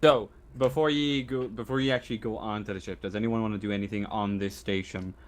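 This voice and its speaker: A man's voice: lowish voice, fairly even intonation, fast talker. A man running a tabletop roleplaying game, narrating casually and improvising as he goes. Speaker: game master.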